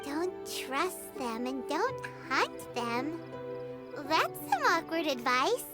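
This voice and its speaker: A woman voicing a small, chirpy, curious fairy companion in a video game, with an excitable, childlike delivery. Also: high voice, takes it slowly, over music, exaggerated voice. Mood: curious, puzzled.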